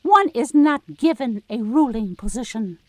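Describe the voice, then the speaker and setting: A woman's voice: on the high side, expressive, measured pace, no room sound. A woman playing a stern, scornful official of hell in an audio drama, with an imperious, scolding manner. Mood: scornful, angry.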